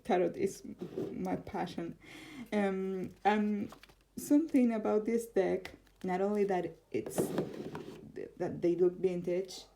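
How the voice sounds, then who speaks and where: Laughing Argentine woman, slow and mellow. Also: medium pitch, steady tone, a little hiss or hum, poor audio. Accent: Argentine Spanish. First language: Spanish. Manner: chatty, laughs often, warm enthusiasm. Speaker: tarot vlogger. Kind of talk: casual chat showing tarot decks. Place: home room, neighbor's car heard outside.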